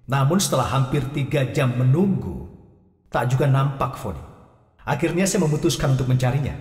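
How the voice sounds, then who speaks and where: A man narrating his own life story in a scripted audio drama, speaking directly to listeners with a wry, chatty manner. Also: mid-range voice. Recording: roomy, echoey, distant mic with music.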